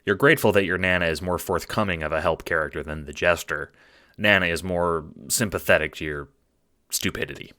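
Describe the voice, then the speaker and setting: A man's voice: sits low, a little fast, pushed and bright, no room sound, studio quality. A man giving a scripted second-person audiobook narration with dramatic storytelling. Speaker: narrator and producer.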